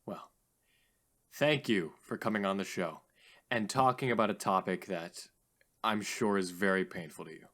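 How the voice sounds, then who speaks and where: Audio drama: male actor as earnest, philosophical radio host, lowish pitch, formal theatrical delivery. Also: fairly bright tone, natural voice. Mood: courteous, sympathetic. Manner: formal, measured, slightly theatrical.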